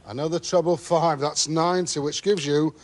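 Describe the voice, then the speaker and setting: A man calling darts scores in a game show studio, stretching each number out in a drawn-out, sing-song way. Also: on the high side, fairly even intonation, noisy background. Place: TV studio with audience. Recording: distant mic over loud crowd and music.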